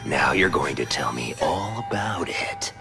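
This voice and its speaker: An actor playing a sadistic, mad serial killer in a video game, gravelly and taunting, with theatrical drawn-out delivery. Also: male voice, extremely low pitch, lively intonation. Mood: menacing taunting. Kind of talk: video game cutscene acting. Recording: music under the voice.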